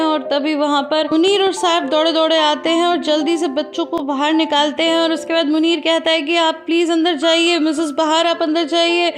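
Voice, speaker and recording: A woman hosting a drama recap channel, retelling each scene in a chatty way and speaking straight to her viewers. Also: very high voice. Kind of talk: conversational plot recap. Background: background music.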